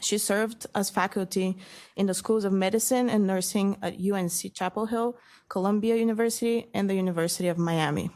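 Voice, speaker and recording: A woman's voice: mid-range voice, steady tone, fairly quick, darkish tone. A woman hosting a seminar, welcoming the audience hesitantly before introducing the guest speaker. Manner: hesitant, many fillers, then reads bio. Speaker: seminar host. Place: lecture room.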